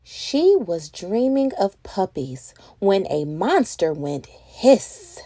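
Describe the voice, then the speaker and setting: Female children's read-aloud host, animated storybook narration, unhurried pace, very dry close sound. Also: medium pitch, dry recording. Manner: animated, acts out words and sound effects.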